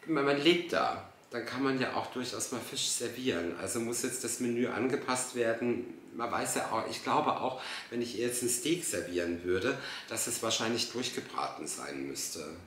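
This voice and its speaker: A man's voice: medium pitch, lively intonation, moderate pace, hardly any pauses, a bit bright, echoey room, slight background noise. A man in his thirties giving casual, exasperated and sarcastic reaction commentary. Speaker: host reacting to a TV dinner show.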